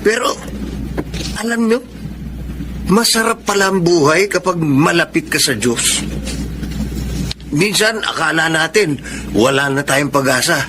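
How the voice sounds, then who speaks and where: A man's voice: fairly high pitch, lively intonation, unhurried, pauses often, noisy background. A man playing an earnest, reformed troublemaker and devoted son in a radio drama, with a Filipino accent. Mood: earnest, reflective. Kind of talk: Filipino radio drama acting and reflective narration.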